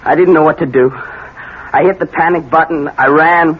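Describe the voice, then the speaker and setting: An actor playing a frightened, guilt-ridden young American man in a radio drama, speaking anxiously and haltingly. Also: fairly high pitch, steady tone, lightly acted. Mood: panicked, guilty. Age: young adult. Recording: tinny, noisy vintage radio recording.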